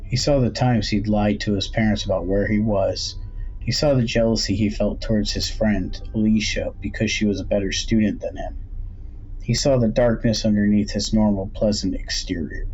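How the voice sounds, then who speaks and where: A man's voice: fairly low pitch, fairly even intonation, normal speed, darkish tone, slightly rough. A man reading a scripted horror story as an audiobook narrator. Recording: dull, noisy home recording.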